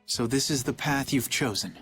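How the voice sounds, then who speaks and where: A man's voice: medium pitch, moderate pace, fairly bright tone, faint music behind, natural voice. A man playing an earnest, righteous young knight captain in a dubbed anime game, speaking formally and solemnly. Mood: grave.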